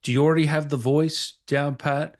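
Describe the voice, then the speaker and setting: A man's voice: on the high side, steady tone, moderate pace. A man interviewing a guest in a casual, chatty style as host of a gaming show.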